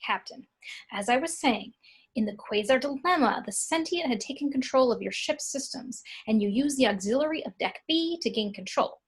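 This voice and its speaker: Woman playing a high-voiced, earnest nerdy young fan. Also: lively intonation, normal speed, husky, exaggerated voice. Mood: earnest, inquiring. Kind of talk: amateur screenplay table read.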